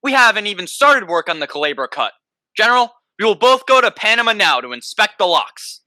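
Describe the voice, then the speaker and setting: Bombastic crackpot president, acted by a young man, amateur radio play; sharp, ringing tone, very dry recording. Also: high voice, very animated, fairly quick, dry recording, exaggerated voice. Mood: pompous. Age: young adult. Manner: bombastic, pompous. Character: blustering deluded man who thinks himself President Roosevelt.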